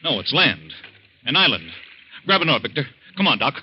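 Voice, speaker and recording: An actor playing a practical, take-charge castaway man in a 1940s radio drama, brisk and decisive, on a dull old recording. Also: medium pitch, very animated, close to an everyday voice. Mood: forceful.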